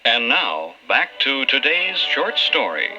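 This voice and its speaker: A man's voice: mid-range voice, very animated, takes it slowly. A man announcing an old-time radio story in a formal broadcast style. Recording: muffled old radio broadcast with loud music.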